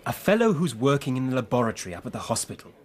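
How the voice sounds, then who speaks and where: An actor playing an easygoing, chummy Victorian English medical student in a British radio drama. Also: male voice, medium pitch, big pitch swings, rapid-fire, darkish tone, lightly acted. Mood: guarded. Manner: chummy, breezy, old-fashioned upper-class phrasing.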